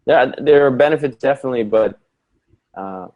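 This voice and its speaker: A man's voice: medium pitch, brisk, phone-quality sound. A man from Canada whose first language is German, a podcast guest musing aloud and repeating words as he gathers his thoughts. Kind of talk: conversational podcast interview.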